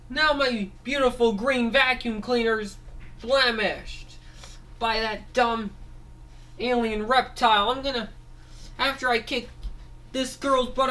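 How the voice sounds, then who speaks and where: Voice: mid-range voice, slow pace, long pauses, sharp tone, far from the mic, pitched above the performer's own voice. A storyteller voicing a vain, hot-tempered toy robot man ranting about revenge. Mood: angry. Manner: ranting, threatening.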